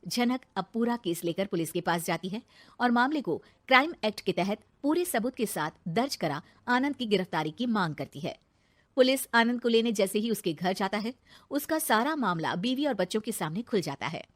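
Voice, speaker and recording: A woman's voice: mid-range voice, animated, normal speed. A woman narrator reading a scripted moral story in a dramatic storytelling style.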